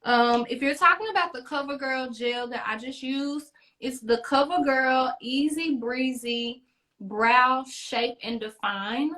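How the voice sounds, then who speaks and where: High-voiced, chatty woman beauty host. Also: unhurried, sharp tone. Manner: chatty, encouraging, playful. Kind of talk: casual chat.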